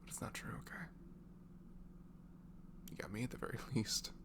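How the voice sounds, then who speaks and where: A man speaking softly and breathily in an improvised, comforting ASMR voice message, rambling affectionately and informally as if to a close friend. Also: normal speed. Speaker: amateur ASMR audio creator playing a supportive friend.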